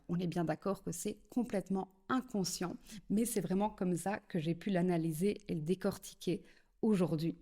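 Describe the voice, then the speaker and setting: A woman's voice: on the low side, darkish tone. A Belgian woman from French-speaking southern Belgium talking straight to the viewer in a casual explanatory tone, leaning often on little lead-ins like "eh bien" and "alors". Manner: chatty, addresses the viewer directly, many "eh bien" and "alors". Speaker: psychogenealogy video creator. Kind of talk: casual explanatory talk to camera.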